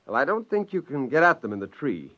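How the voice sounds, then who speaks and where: An actor playing an excitable scientist father who narrates and acts in an old radio drama. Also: medium pitch, fast talker. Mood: excited, coaxing. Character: excitable, gleeful scientist father narrating his secret creation. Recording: dull lo-fi old radio, music underneath.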